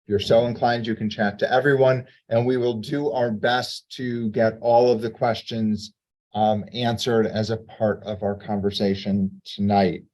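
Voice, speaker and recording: A man as president and moderator, opening a virtual panel in a measured, welcoming way with some fillers, heard over a video call. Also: fairly low pitch, flat delivery, a little mellow, rough recording.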